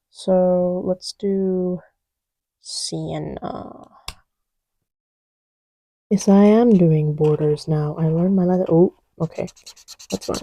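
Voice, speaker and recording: Soft, slow-talking, mellow girlfriend played by a woman. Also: lowish voice. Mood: flustered, absorbed. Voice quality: soft-spoken, often whispery. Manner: rambling, playful, self-deprecating, often drops to a murmur. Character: affectionate, scatterbrained girlfriend testing markers on her boyfriend's lap. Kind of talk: casual, improvised-sounding girlfriend roleplay audio with ASMR feel.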